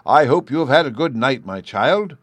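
A man's voice: mid-range voice, expressive, normal speed, no room sound, voice pushed up, close to an everyday voice. A man voicing a jolly, absent-minded old professor who talks in a fussy, distracted way.

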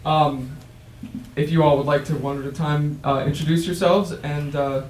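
A man moderating a university panel discussion, introducing guests haltingly with many fillers. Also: a little high, fairly even intonation, darkish tone. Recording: roomy, distant mic with faint noise.

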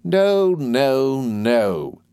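A man's voice: mid-range voice, very animated, extremely slow, mellow, clear, resonant tone, dry recording, pitched above the performer's own voice, heavily acted. A man voicing a domineering, brusque older English aunt in an audiobook, with emphatic, commanding delivery. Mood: brisk. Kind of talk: audiobook character voice.

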